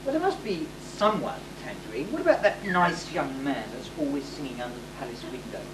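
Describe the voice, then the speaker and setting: A woman in an amateur stage pantomime playing a pompous middle-aged sheikh who is a princess's father, with theatrical, halting delivery. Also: low voice, big pitch swings. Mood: fatherly. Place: hall stage, distant microphone. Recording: echoey hall from far off, noisy amateur tape.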